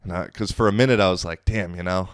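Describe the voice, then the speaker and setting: A man's voice: deep voice, fairly even intonation, fast talker, clean tone, dry recording, studio quality. A young man hosting a podcast, telling a loose, profane, rambling story in casual monologue, full of fillers. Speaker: podcast host.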